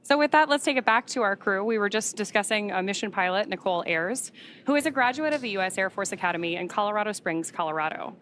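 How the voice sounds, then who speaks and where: A woman's voice: mid-range voice, lively intonation, quick pace, fairly bright tone. Woman commentating live over background noise. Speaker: live broadcast commentator for a space mission. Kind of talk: informative live commentary, partly read from notes.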